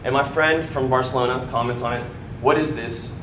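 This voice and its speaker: A man's voice: mid-range voice, fairly even intonation, a little fast. Young American guy, casual stage testimonial, filler-heavy; roomy hall sound, audible background noise. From United States. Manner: casual, many fillers and "right?" tags. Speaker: speaker at a sales event. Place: large event room before an audience. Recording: echoey room with background noise.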